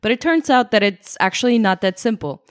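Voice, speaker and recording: A young woman co-hosting a casual chat, playful and quick to laugh. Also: a little high, lively intonation, no room sound, studio quality. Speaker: co-host.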